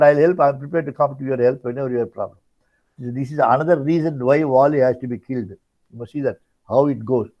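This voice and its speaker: An older man with an Indian accent giving an informal religious discourse, retelling stories in halting, repetitive phrases as a spiritual teacher. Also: fairly high pitch. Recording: dull lo-fi audio.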